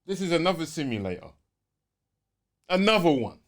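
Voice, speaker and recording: A man's voice: on the high side, very animated, moderate pace. A man with a London accent co-hosting a gaming podcast, chatting casually in slang as he reasons things through. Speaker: podcast co-host.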